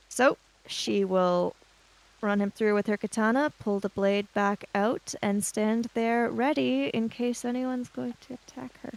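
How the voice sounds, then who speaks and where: Slow-spoken woman with a creaky voice. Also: fairly high pitch, no echo, rough recording. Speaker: player in a tabletop roleplaying game. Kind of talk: narrating her character's actions in a tabletop game.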